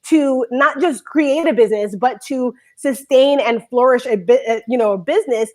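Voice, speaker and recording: Woman, talk show host, high voice, bubbly, chatty, live broadcast. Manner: bubbly, rambling, quick bursts of speech.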